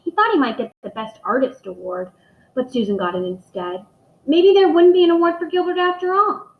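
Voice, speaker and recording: A woman teacher reading a picture book aloud to young children, expressive and speaking to them directly. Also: sits high, a little fast, a little hiss or hum. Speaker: elementary school teacher reading aloud to pupils. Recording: echoey room, dull muffled microphone.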